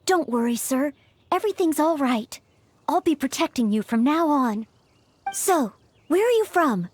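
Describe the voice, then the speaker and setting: Game role: young maid aspiring to knighthood; high, animated, gentle, formal, eager to please. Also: female voice, unhurried, no room sound. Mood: reassuring. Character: earnest, polite young maid training to be a knight. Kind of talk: video game voice acting.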